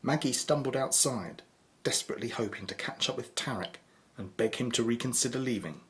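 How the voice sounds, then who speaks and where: An actor narrating a horror audio drama as a measured, ominous storyteller. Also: male voice, mid-range voice, lightly acted.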